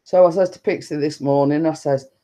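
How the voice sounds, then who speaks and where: A woman with a deep-toned voice, chatting casually and rambling to her viewers on a live stream. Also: fairly even intonation, fairly quick, mellow. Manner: chatty, meandering, repeats stock phrases and laughs often.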